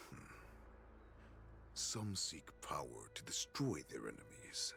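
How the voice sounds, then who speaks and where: An actor playing a centuries-old wolfish warlord in a video game, a menacing, sadistic predator who savors each threat theatrically in a gravelly voice. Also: male voice, full character voice. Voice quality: very deep, gravelly. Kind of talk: dramatic video game voice acting. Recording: game music behind the voice.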